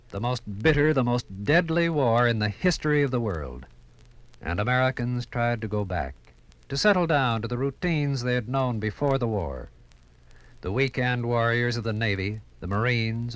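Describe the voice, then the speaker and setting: Casting: male radio narrator, mid-range voice, measured and dramatic, old-time broadcast delivery. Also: medium pitch, no echo, low-quality recording. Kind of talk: 1950s scripted radio documentary narration.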